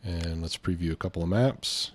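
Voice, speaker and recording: A man's voice: sits low, moderate pace, no room sound. A man with a slightly congested voice from a lingering cold, hosting a casual let's play and thinking aloud hesitantly. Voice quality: slightly stuffy, congested nose. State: getting over a cold. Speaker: gaming video host.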